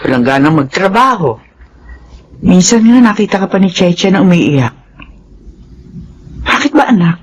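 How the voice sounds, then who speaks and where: Older Filipina mother, caring and fretful, very lively intonation, radio-drama delivery. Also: lowish voice, measured pace, pauses often, breathy edge, heavily acted. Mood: worried, alarmed.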